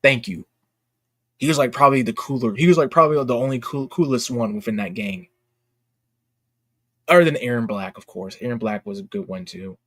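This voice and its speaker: A man talks as a livestream host in a casual, slangy way, voicing opinions with emphasis. His voice sits at a medium pitch, recorded dry with little room sound. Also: a little fast, pauses often, a bit bright, no room sound. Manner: opinionated, informal, emphatic, slangy "dude" and "bro". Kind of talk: casual livestream chat about games.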